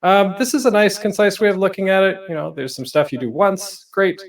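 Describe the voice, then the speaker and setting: A man's voice: high voice, brisk, distant mic. A man giving a casual, informal presentation as a panel host, with rhetorical questions and offhand self-deprecating asides.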